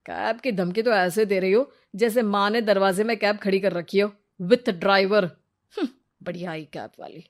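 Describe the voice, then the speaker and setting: Cartoon husband, played by a woman: mid pitch, bossy, demanding, fussy, forever scolding. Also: moderate pace, lightly acted. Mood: bossy, grumbling. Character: bossy, nagging husband who scolds like a mother-in-law.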